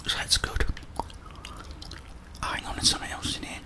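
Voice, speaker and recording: A middle-aged man with a British accent whispers his way through a video game in ASMR style. He thinks aloud in a soft, breathy murmur, close to the microphone, as if muttering to himself. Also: a little slow. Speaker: gaming streamer.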